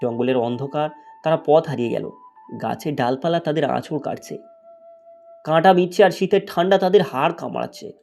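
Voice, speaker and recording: A man's voice: a little high, brisk, gravelly, a little mellow, soft background music. A man narrating a scripted moral fairy tale as a storyteller.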